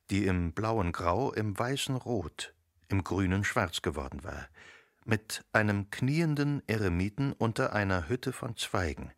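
A man with a gravelly voice narrating a literary radio drama from a script. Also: fairly low pitch, fairly bright tone, no echo, studio quality.

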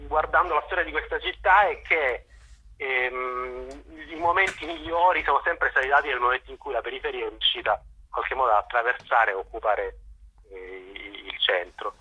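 A man speaks as an interview guest in a husky, very bright and edgy voice. He reasons aloud, hesitating often and restarting words as he looks for the right one. The sound is thin and harsh, like a phone call on the radio. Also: medium pitch. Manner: halting, searching for words with long hesitations and repeated words. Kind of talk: spontaneous explanatory radio interview.

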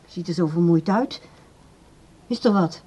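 An actress playing a controlling grown daughter in a radio drama, speaking in a brisk, formal, placating way. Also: female voice, fairly low pitch, mellow, husky, muffled recording. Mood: concerned. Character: polite but evasive, controlling adult daughter.

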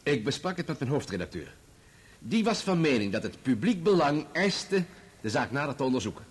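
An actor playing a composed, matter-of-fact journalist giving measured, formal testimony in a radio drama. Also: male voice, medium pitch, sing-song, breathy edge. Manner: measured, formal, factual recounting.

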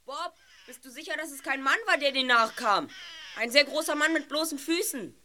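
A woman's voice: high-pitched, expressive, moderate pace, sharp tone. A woman playing a self-assured, analytical boy detective with precise, formal wording in a children's audio drama. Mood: investigating, intrigued.

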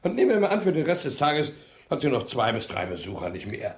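A man's voice: medium pitch, big pitch swings, quick pace, natural voice. An actor playing a knowing man explaining things in a German radio crime drama. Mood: knowing, insinuating. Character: man slyly explaining a woman's routine.

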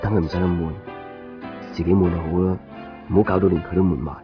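A man's voice: sits low, fairly even intonation, quick pace, close to an everyday voice. An actor playing a lovesick, obsessive young man in a Cantonese radio drama with a Hong Kong accent, plaintive and insistent. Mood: brooding, melancholy. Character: lovesick young copy-shop man obsessively searching for missing girlfriend. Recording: muffled 1990s radio drama recording. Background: music.

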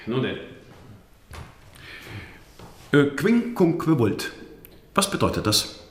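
A man's voice: medium pitch, wildly swooping pitch, a little slow. A man playing a scornful, pedantic medieval cleric with a stiff, condescending manner in a radio drama. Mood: testing, curt. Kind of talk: German radio drama acting. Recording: very echoey stone-room sound.

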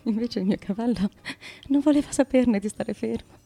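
An actress playing a murdered young queen of ancient Corinth in a radio drama, dazed and proud, with a breathy, incantatory, repetitive delivery. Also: a little high, moderate pace, mellow. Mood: dreamy, remembering. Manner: incantatory, repetitive, shifting from murmurs to cries. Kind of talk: theatrical radio drama monologue and dialogue.

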